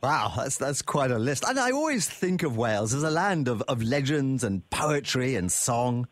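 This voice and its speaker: A man hosting a radio travel show, interviewing a guest in an expressive, warm way. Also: medium pitch, normal speed, no room sound, studio quality. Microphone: studio microphone.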